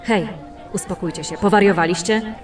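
A woman plays a practical fantasy adventurer in an audio drama. She speaks plainly and with composure in a mid-range voice. She is a bit off the mic, with music clearly behind her. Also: medium pitch, normal speed, far from the mic, some chatter behind, natural voice. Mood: calming, firm. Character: practical, level-headed female fantasy adventurer.

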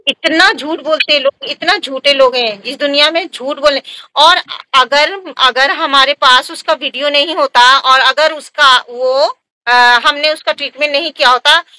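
A woman's voice: high voice, a little slow, bright, forceful tone, no room sound, poor audio. A woman giving casual advice to viewers in an emphatic, repetitive way, often tagging questions onto her sentences. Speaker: vlogger and skin treatment provider.

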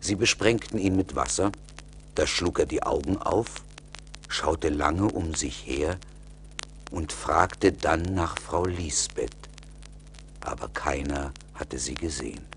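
Male storyteller, bass-deep, slow, scripted fairy-tale narration. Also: fairly bright tone, a little hiss or hum.

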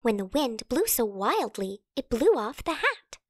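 A woman reads a rhyming children's story in a fairly high voice. Her delivery is very animated and dramatic, recorded close and dry. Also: unhurried, no room sound. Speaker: storybook narrator. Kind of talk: expressive children's read-aloud in rhyme.

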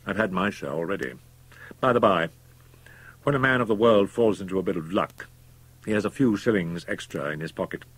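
A man performs a worldly young doctor with murder behind him, in a storybook reading. He is calm and domineering, pressing each point persuasively in a firm, slightly husky voice. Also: low-pitched, fairly quick, no echo, pitched above the performer's own voice, exaggerated voice. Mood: smooth persuasion.

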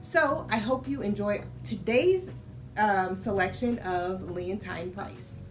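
An American woman in her thirties hosting a children's storytime, speaking in an instructive, expressive read-aloud style. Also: medium pitch, slow, poor audio. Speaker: children's storytime host and reader. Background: music.

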